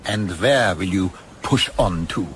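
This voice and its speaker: An actor playing a courteous, probing German yacht owner of about fifty with a German accent in a radio play. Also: male voice, low voice, measured pace, a bit bright. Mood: suave, probing. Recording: faint background noise.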